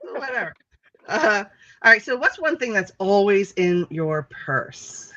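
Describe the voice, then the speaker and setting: A woman's voice: medium pitch, big pitch swings, a little slow, a bit bright, dry recording, dull audio. A woman co-hosting a casual online chat show, talking loosely, laughing often and cursing casually. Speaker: co-host. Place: home with animals behind her.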